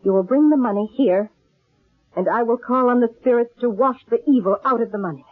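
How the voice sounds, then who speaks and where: A woman's voice: fairly high pitch, brisk, natural voice. An actress playing a shrewd, middle-aged female fortune-teller and con artist, commanding and portentous, in old-time radio drama style. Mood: solemn, commanding. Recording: thin, narrow-band old radio recording.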